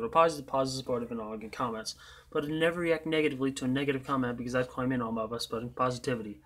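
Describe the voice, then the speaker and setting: A young man with a hoarse, worn-out voice, chatting over his own gameplay. He rambles and trails off in a tired, easygoing way. Casual commentary with a loose, unscripted feel. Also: medium pitch, a bit bright. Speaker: gaming channel host.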